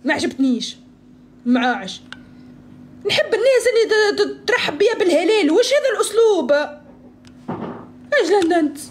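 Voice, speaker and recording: A woman's voice: extremely high pitch, very animated, fairly quick, long pauses. A woman in her twenties hosting a live stream from a phone, chatting and teasing guests in a playful, mock-pious way. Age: 20s. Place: small room indoors. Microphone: phone at arm's length.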